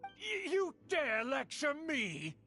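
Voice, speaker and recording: A scheming, haughty old-family uncle from a video game. His voice is high and leaps around dramatically as he declaims with pompous indignation. Music plays behind him. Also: wildly swooping pitch, takes it slowly, pushed and bright, heavily acted. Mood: outraged. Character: haughty, scheming aristocratic uncle, older, indignant clan loyalist.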